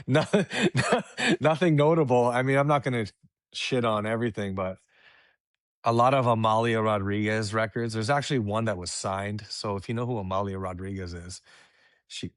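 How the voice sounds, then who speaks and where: Canadian man, laid-back guest, lowish voice, casual chat. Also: steady tone, moderate pace, no room sound, clean studio sound. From Vancouver, Canada. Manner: laid-back, laughs often, many fillers.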